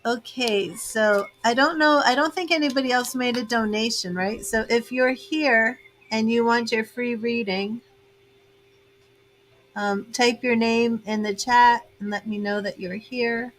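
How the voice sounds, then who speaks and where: A chatty American woman in her fifties reads tarot cards live for her viewers. Her voice sits a little high, and she laughs easily as she talks. She fills her pauses with relaxed "um"s and casual asides. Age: 50s. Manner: chatty, laughs often, many fillers. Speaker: tarot reader hosting a livestream. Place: home with grandchildren and birds in earshot.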